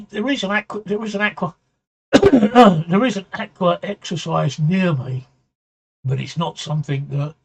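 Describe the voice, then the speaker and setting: A man hosting a casual livestream chat, his voice going hoarse, speaking haltingly, repeating words and often clearing his throat. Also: fairly high pitch, low-quality recording. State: voice getting hoarse. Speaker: livestream talk show host. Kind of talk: casual livestream chat answering viewer comments.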